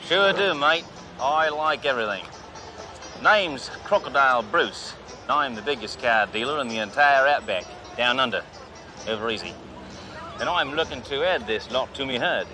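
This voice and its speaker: A man's voice: medium pitch, lively intonation, normal speed, soft background music, poor audio. A man putting on a blustering fake-Aussie tycoon. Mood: boastful. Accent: put-on Australian. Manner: loud swaggering bluster. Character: brash fake-Australian male tycoon, boastful.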